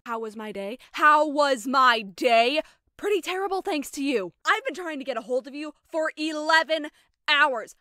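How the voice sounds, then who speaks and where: A woman's voice: high-pitched, expressive, moderate pace, bright, forceful tone, no echo, studio quality. A woman voicing a fretful, hot-tempered young college-age girlfriend in a cartoon. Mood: angry, hurt. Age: young adult. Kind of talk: animated cartoon acting.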